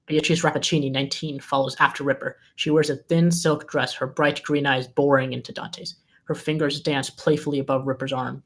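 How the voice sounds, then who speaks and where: Screenplay narrator with medium pitch. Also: level tone, a little fast, fairly bright tone. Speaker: stage-directions narrator. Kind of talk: scripted screenplay narration at table read.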